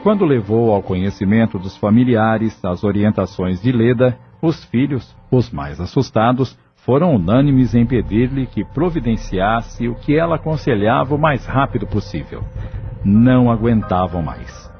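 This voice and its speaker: A man's voice: medium pitch, lively intonation, measured pace. A man narrating a scripted audio novel as its storyteller. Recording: muffled lo-fi audio with music.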